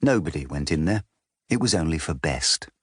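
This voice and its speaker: A man's voice: very deep voice, big pitch swings, normal speed, fairly bright tone, husky, no room sound, studio quality. A man narrating a children's storybook as a scripted audiobook.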